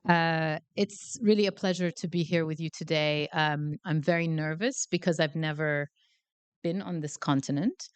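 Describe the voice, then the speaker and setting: A woman's voice: deep voice, moderate pace, clean tone. A woman giving a guest lecture in a hall, chatty and self-deprecating, with many fillers, speaking into a handheld mic. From Canada. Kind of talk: academic lecture. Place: lecture hall before a live audience.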